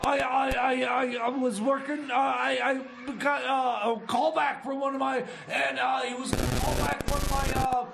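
A man playing an excitable, scatterbrained radio sidekick who rambles haltingly in comedy theater. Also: very high voice, gravelly, full character voice. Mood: rattled, rambling. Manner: halting, rambling, often shouting. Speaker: radio co-host. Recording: echoey, noisy room.

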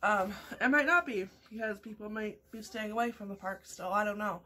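A woman's voice: medium pitch, fairly quick, bright, forceful tone. Chatty woman rambling over morning coffee. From Canada. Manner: rambling, chatty, many fillers and restarts. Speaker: vlogger. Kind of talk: casual morning coffee chat. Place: small home room indoors.

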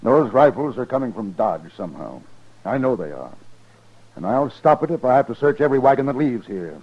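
Husky, stern army major. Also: male voice, medium pitch, sing-song, fairly quick. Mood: insistent, suspicious. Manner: clipped, insistent, suspicious. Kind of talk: 1950s radio western acting. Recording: dull 1950s radio recording.

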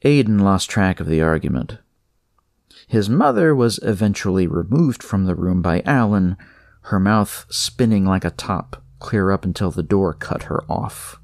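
A man's voice: sits low, animated, unhurried, darkish tone, no room sound, studio quality. A man narrating scripted horror fiction as a storyteller.

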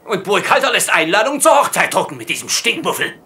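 Sitcom dub: pompous, whining commandant, middle-aged, high and very animated, theatrically exasperated. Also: male voice, fast talker, exaggerated voice. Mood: complaining, disgusted. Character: pompous, exasperated, blustering German camp commandant. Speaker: dubbing actor in a TV sitcom. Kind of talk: comic sitcom dub, slangy ranting.

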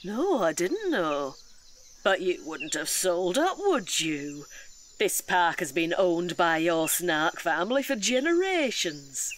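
A male actor playing a calm, weary, gently chiding caretaker in an audio drama. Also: sits high, takes it slowly, exaggerated voice. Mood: soothing. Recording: audible outdoor nature noise.

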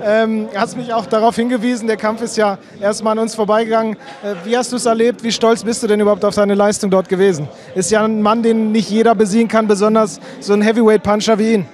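A man's voice: high voice, little pitch movement, fast talker, few pauses, a little mellow. A man interviewing a fighter, asking friendly questions into a handheld mic.

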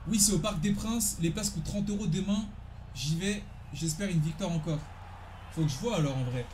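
A man's voice: high voice, normal speed, darkish tone, reverberant, slight background noise. A young man from France, a streamer chatting casually and in slang while he plays a football video game. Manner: slangy, informal, talking to viewers. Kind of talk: casual live gaming chat with slang. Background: football video game audio and commentary.

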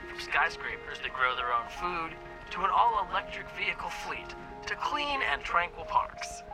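An actor playing a polished promotional announcer selling a green city in a scripted documentary voice-over, music behind. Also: male voice, a little high, expressive, normal speed, close to an everyday voice, rough recording.

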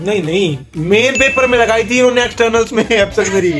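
A man's voice: high-pitched, fast talker, music in the background. A young man in his twenties chatting playfully on a casual family vlog, filming himself with a phone at arm's length in a small bedroom. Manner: playful, teasing, laughs often. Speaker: vlogger. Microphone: phone camera at arm's length.

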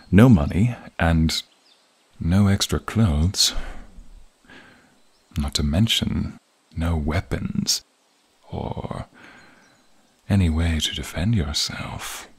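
A man voicing an alluring, menacing archmage who smugly toys with a captive in an ASMR fantasy roleplay, husky and breathy, with teasing murmurs. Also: extremely low pitch, heavily acted. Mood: teasing, probing. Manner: slow, teasing, smug, drawn-out murmurs.

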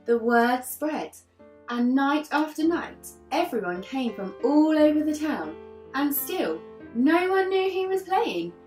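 A woman with a fairly high, very lively voice reads a picture book to young children. She phrases things dramatically, leaving deliberate pauses as a teacher would. The sound is roomy, picked up from across a living room. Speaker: infant school teacher reading aloud. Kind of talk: expressive children's storybook reading. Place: living room sofa, indoors. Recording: roomy, distant mic, faint music behind.

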